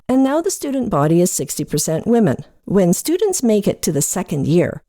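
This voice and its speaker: A woman presents a scripted podcast segment like a storyteller. Her pitch moves in a lively way while the tone stays mellow. The recording is very dry. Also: dry recording.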